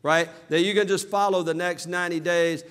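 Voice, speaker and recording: A man from southern Alabama with a Southern American accent giving an energetic motivational business seminar, calling out to his audience for responses. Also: sits high, a little fast, clean tone. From southern Alabama, USA. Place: large hall with live audience. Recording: reverberant hall.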